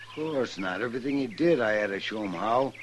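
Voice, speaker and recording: An actor playing a mean, stubborn rancher in an old-time radio western, talking in a defiant, folksy rural way. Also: male voice, mid-range voice, animated, brisk, close to an everyday voice. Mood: scornful. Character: mean, stubborn rancher bent on his own revenge. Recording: noisy vintage radio recording.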